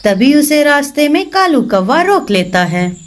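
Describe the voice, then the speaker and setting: A woman's voice: high-pitched, sing-song, a little slow, slight background noise. Woman narrating a children's cartoon story.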